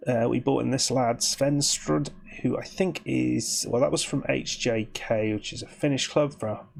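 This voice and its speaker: A man, croaky and sniffly with hay fever, giving rambling, chatty commentary on his game as host. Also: mid-range voice, steady tone, normal speed, darkish tone. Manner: rambling, chatty, with frequent restarts. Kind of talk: casual gameplay commentary.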